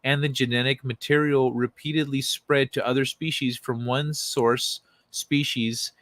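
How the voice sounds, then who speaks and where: A man hosts a casual livestream in a mid-pitched voice, recorded close and dry. He talks informally and excitably, swears freely, and moves between loose chat and reading aloud. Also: unhurried, no echo. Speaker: livestream host.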